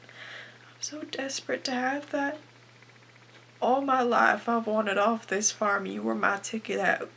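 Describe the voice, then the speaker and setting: A woman's voice: fairly high pitch, little pitch movement, measured pace, creaky, long pauses, slightly rough, exaggerated voice. A teenage girl playing a desperate, bitter young farm wife in a confessional dramatic monologue. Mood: yearning, resentful. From Canada. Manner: confessional, anguished, swinging from hushed to bursting. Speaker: aspiring actress performing a film monologue.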